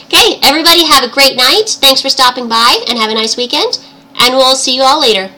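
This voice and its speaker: Tiny, bright, girlish woman's voice. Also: high-pitched, lively intonation, a little fast. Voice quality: tiny, girlish, cartoon-princess-like voice. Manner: cheerful, giggly, chatty. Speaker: livestream host. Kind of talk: casual livestream chat. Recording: livestream audio with background noise.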